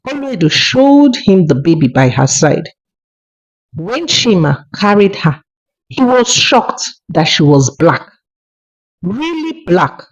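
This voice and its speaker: A woman with a Nigerian accent narrating a folktale in an expressive, emphatic storytelling manner. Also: on the low side. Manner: expressive, emphatic storytelling with repeated words for effect. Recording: dull, lo-fi voice recording.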